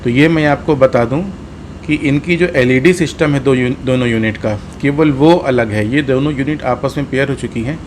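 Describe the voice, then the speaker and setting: Indian guy walking thru a gadget demo step by step, mid pitched voice, noisy hum behind him. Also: normal speed. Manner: explanatory, step-by-step, repeats points. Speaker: host of a motorcycle gear tutorial. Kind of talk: hands-on product demonstration.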